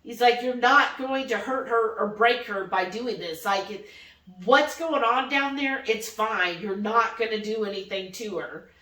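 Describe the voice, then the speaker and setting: High-voiced woman storytelling, distant and echoey. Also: unhurried. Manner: animated retelling, often quoting dialogue in acted voices. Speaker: vlog host. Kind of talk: casual personal storytelling. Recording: roomy echo, distant microphone.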